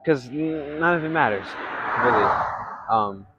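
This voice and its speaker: A young man with a congested, nasal voice talking candidly in a vlog, halting and rambling with many fillers and restarts. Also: mid-range voice, lively intonation, muffled recording, loud music behind the voice. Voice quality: congested, nasal from blocked nose; soft, not loud. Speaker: vlogger. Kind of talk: candid personal vlog monologue.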